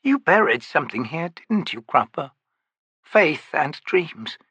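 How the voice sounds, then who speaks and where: A man voicing a weary young female priestess who speaks quietly and reflectively in an audiobook. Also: deep voice, big pitch swings, measured pace, breathy edge, dry recording, pitched above the performer's own voice, full character voice. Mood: wondering.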